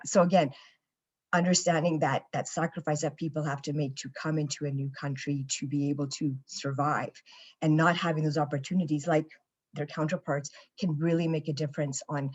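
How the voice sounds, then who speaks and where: A woman's voice: low-pitched, a little mellow, slightly rough, highs cut off. A young Canadian woman with a Canadian accent, speaking as a panelist and reflecting earnestly on her own experiences, with frequent "you know" fillers. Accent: Canadian English.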